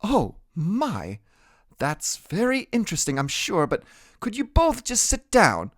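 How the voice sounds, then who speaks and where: A man voicing a new woman teacher who speaks politely but sounds unsure and slow. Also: high voice, wildly swooping pitch, no room sound, studio quality, voice pushed up, heavily acted. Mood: flustered.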